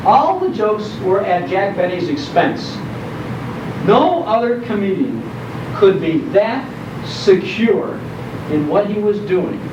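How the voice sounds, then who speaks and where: An older American man giving an informal, folksy, nostalgic talk to an audience, repeating phrases for emphasis. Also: sits high. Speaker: guest speaker on old-time radio history. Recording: echoey, dull, noisy recording from far off.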